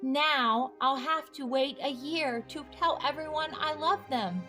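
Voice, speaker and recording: A woman's voice: sits high, measured pace, pushed and bright, soft background music, voice pushed up. A woman reading a children's storybook, voicing a sad, worried, apologetic little girl with a small voice. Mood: dismayed, sad. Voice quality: small, tiny voice. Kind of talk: children's storybook read aloud.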